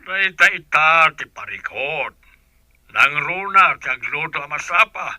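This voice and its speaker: A high, piercingly bright older male voice performed by an actor plays a stern father-in-law in a radio drama. He is challenging and disapproving, protective of his son, and recorded dry. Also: expressive, unhurried, dry recording, exaggerated voice. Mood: stern, worried. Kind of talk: Philippine radio-style drama acting.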